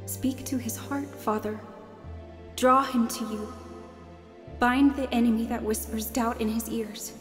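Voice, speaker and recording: Actress as earnest devout wife, higher voice. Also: female voice, steady tone, unhurried, soft background music, lightly acted. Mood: pleading prayer. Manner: earnest, heartfelt. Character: earnest, devout wife telling her testimony. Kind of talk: radio drama acting and narration.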